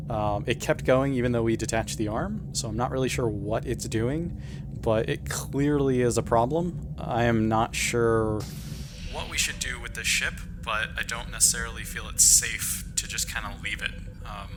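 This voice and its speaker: A man speaking as a ship's engineer in a tabletop game, hesitant and thinking aloud. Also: medium pitch. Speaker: player voicing the ship's engineer. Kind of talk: in-character play.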